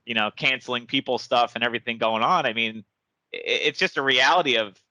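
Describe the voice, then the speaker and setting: A man's voice: mid-range voice, brisk, bright, forceful tone, no room sound, poor audio. A man hosting a casual interview, talking in a reflective, hesitant way and often repeating words. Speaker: podcast host.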